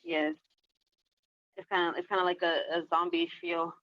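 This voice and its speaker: A woman's voice: on the low side, sing-song, normal speed, no room sound, muffled recording. A woman chatting casually as a podcast host, hesitant and often repeating short phrases. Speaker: host and reader of a storytelling podcast. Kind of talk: casual chat.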